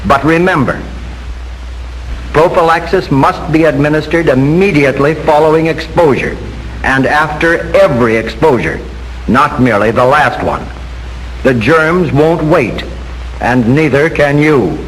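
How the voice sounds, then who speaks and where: An American man reads a scripted 1940s instructional film narration in a formal, measured, instructive manner. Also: fairly high pitch. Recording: noisy 1940s film soundtrack with hiss.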